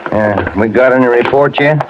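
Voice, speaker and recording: An actor playing a businesslike police detective partner in a 1950s radio crime drama, casual and clipped. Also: male voice, medium pitch, normal speed, natural voice. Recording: muffled old radio with faint noise.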